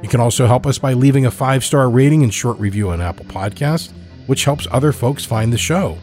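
A man's voice: mid-range voice, fairly quick, a little mellow, soft background music. A man reading scripted narration as a storyteller and podcast host.

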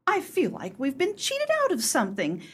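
A woman's voice: high voice, huge pitch swings, moderate pace. An actress playing an earnest, naive, aggrieved book club lady in a genteel radio play.

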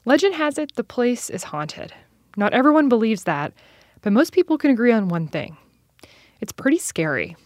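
A woman's voice: on the high side, big pitch swings, normal speed. A woman reporter reading scripted narration for a radio feature.

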